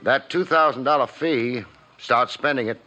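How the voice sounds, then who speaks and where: A man's voice: medium pitch, normal speed, pushed and bright, soft background music, natural voice. A man playing a wisecracking, laid-back private detective who narrates and acts in a 1950s radio drama, in casual clipped phrases. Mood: wry, brisk. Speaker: detective lead and first-person narrator. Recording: dull old radio recording.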